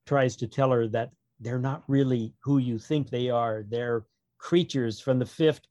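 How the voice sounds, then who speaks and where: A man talks casually as an author being interviewed. His manner is wry and rambling, like someone telling stories off the cuff. The voice sits at a middling pitch, recorded dry with very little room sound. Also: steady tone, unhurried, darkish tone, no room sound. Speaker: author, podcast guest. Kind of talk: casual interview chat about own writing.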